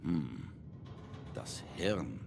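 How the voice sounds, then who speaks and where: An actor playing a world-weary, shrewd android private detective in a video game, laconic and wry in a noir manner. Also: male voice, a bass voice, big pitch swings, very slow, vocal fry, long reverb tail, music in the background, full character voice. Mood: musing, matter-of-fact.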